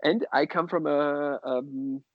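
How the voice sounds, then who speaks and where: An Austrian-accented man, a native German speaker, chatting casually as an interview guest in a halting way over a dull remote connection. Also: fairly high pitch, fairly even intonation, dry recording. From western Austria. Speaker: guest, sommelier and wine bar co-owner.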